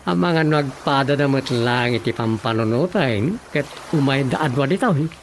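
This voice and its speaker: A man's voice: mid-range voice, expressive, moderate pace, a little hiss or hum, close to an everyday voice. Man as a chatty, teasing Filipino village-hall sidekick. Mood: teasing, puzzled. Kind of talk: comedic radio drama acting.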